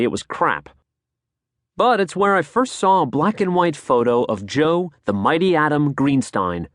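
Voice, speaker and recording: Lively American male audiobook narrator. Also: a little high, no room sound, studio quality. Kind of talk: scripted nonfiction audiobook narration. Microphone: close studio microphone.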